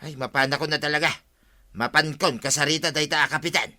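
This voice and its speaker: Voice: mid-range voice, fairly even intonation, a little fast, sharp tone. A performer with an Ilocano accent playing a blunt, quarrelsome villager in a radio comedy drama, exclamatory and confrontational. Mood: exasperated.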